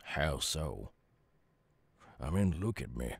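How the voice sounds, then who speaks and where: A man voicing a gruff, weary, guarded werewolf outcast in a slow ASMR roleplay, with a Southern US accent and a gravelly, husky, halting drawl. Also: extremely low pitch, very animated, no echo, exaggerated voice. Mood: brooding.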